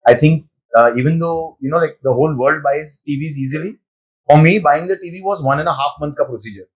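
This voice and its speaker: A man, a guest in a casual chat who talks quickly and mixes in Hindi words. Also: on the high side, husky, poor audio.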